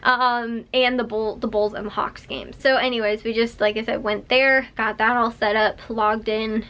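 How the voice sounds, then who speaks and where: Bright-voiced chatty young woman vlogger. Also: a little high, little pitch movement, moderate pace. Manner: rambling, chatty, full of fillers and laughs. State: slightly rough voice after coughing. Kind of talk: casual chat to camera.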